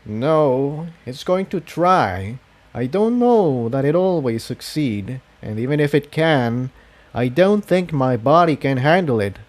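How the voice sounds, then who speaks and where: A man voicing a cautious male unicorn pony, serious and gentle, in a storybook adventure. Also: mid-range voice, lively intonation, slow pace, voice pushed up. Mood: grave.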